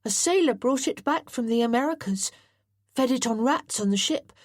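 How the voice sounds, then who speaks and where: A woman's voice: on the high side, expressive, moderate pace, no echo, studio quality, pitched above the performer's own voice. A woman voicing an earnest, well-bred young boy who speaks in a precise, formal way. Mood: eager.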